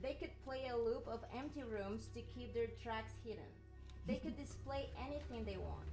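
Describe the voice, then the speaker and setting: Woman acting an anxious, high-voiced scientist, echoey room. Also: moderate pace, a little mellow, natural voice. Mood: wary reasoning. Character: Sheila, sharp, anxious female scientist under siege. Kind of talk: amateur radio play table read. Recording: echoey room, music behind.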